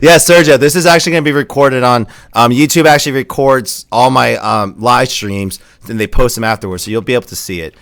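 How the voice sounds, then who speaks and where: A man's voice: mid-range voice, a little fast, gravelly, hardly any pauses, a bit bright, no room sound. A young man from Pennsylvania hosting a casual live-stream podcast, reading viewer comments aloud and laughing often. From Pennsylvania, USA. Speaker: podcast host.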